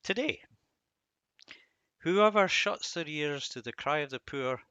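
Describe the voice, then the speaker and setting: A man giving an informal devotional commentary on verses, musing and halting, with self-deprecating asides. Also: fairly high pitch, lively intonation, sharp tone, smooth, no room sound. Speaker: host of a Bible reading series.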